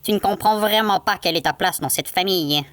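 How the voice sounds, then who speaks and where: A woman's voice: mid-range voice, fairly quick, a bit bright, dry recording, lightly acted. An actress playing a cruel middle-aged fairy tale stepmother, condescending and commanding in her scorn. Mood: scornful. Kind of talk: acted fairy tale storybook.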